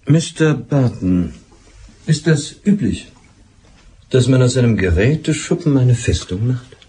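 An actor playing a suspicious, methodical police inspector in a German radio drama, probing and deliberate, with dry wit and authority. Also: male voice, mid-range voice, expressive, warm tone, natural voice.

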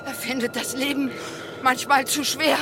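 A woman's voice: sits high, flat delivery, moderate pace, music in the background. An actress playing a careworn, loving middle-aged mother in a radio play, anxious and tender. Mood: sad, protective. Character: careworn, loving working-class mother of a teenage boy. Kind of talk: German radio play acting.

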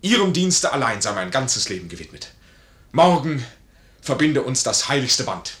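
An actor playing a proud, ardent army major in a 1950s German radio play, with earnest, formal stage diction. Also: male voice, mid-range voice, big pitch swings, moderate pace, fairly bright tone. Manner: earnest, theatrical, formal classical phrasing. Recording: noisy 1950s radio recording.

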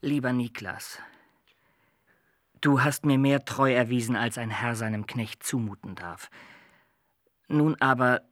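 An actor playing a kindly young soldier and master in a radio play, speaking in formal, archaic phrasing. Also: male voice, mid-range voice, unhurried, pauses often, fairly bright tone, dry recording, clean studio sound, lightly acted. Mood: kindly, solemn.

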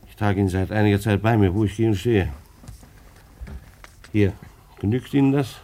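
A man's voice: fairly low pitch, moderate pace, warm tone, close to an everyday voice. A man acting in a German radio drama as an agitated, self-justifying bailiff, stammering and repeating himself as he pleads. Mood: insistent, agitated.

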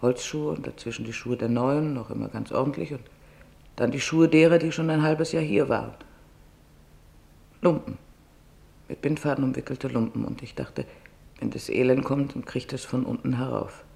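A man's voice: medium pitch, steady tone, moderate pace, vocal fry, pauses often, a little mellow, natural voice. A man reading the part of an anguished woman survivor who earnestly and vividly recounts her memories in an audiobook. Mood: sorrowful reflection. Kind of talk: audiobook testimony narration.

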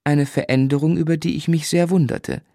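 An actor playing a grown man gently and wistfully recounting his boyhood as a measured radio drama narrator. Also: on the high side, fairly even intonation, creaky, no echo, studio quality. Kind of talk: literary first-person narration in radio drama.

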